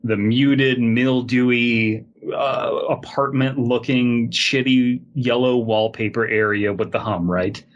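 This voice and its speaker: A man co-hosting a podcast in casual comedic chat, riffing in a rambling, animated, profane way. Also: medium pitch, slow pace, lo-fi sound. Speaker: podcast co-host. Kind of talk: casual comedic chat with read-aloud passages.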